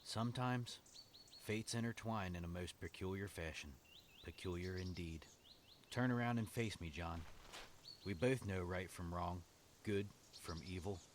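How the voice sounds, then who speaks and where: A man playing a young executioner guard in an audio drama, solemn and commanding, speaking in measured, formal, grave phrases. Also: sits low, a little hiss or hum. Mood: grave, resolute. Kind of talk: dramatic audio fiction acting.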